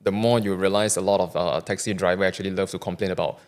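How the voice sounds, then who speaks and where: A candid podcast guest in his thirties, a Malaysian man with a Malaysian English accent. His voice sits fairly low. He talks casually, doubling back on words and tagging sentences with "right?". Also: steady tone, fast, clear, resonant tone. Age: 30s. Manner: candid, repeats words, often ends with "right?". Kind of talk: casual interview conversation.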